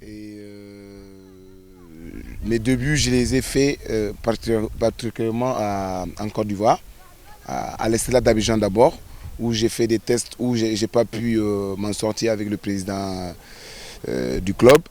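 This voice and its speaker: A man's voice: on the low side, unhurried, darkish tone. A man from Senegal, a footballer, telling his story in a documentary interview, hesitating and repeating words as he restarts.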